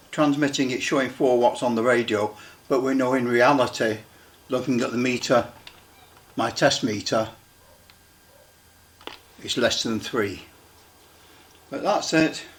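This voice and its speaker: Man thinking aloud, halting. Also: medium pitch, slight background noise. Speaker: radio repair hobbyist demonstrating equipment. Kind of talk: casual demonstration commentary.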